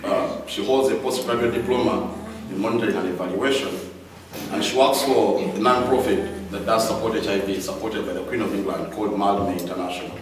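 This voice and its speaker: A man's voice: on the low side, steady tone, a little fast, a little hiss or hum. A young man from Uganda giving a heartfelt speech of thanks to an audience in a large hall. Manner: warm and grateful, addressing the crowd directly. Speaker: speaker thanking supporters at an event. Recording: echoey hall, distant mic, loud music.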